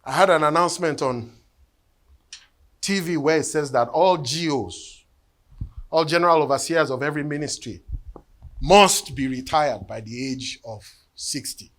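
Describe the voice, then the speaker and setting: A man preaches in a voice that sits a little higher than most men's. He tells a personal story earnestly and often drops to a hush for weight. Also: moderate pace, pauses often. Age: 50s. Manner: earnest storytelling, sinking to hushed tones. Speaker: bishop. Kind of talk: sermon with personal testimony.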